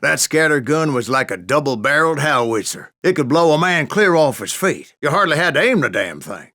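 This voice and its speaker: An actor playing an old Wild West bounty hunter who tells boastful tall tales in a folksy American Western drawl for a video game. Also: male voice, medium pitch, pushed and bright, no echo, studio quality. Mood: boastful, spirited. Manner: folksy, salty, cussing storyteller. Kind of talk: theatrical storytelling narration for a western video game.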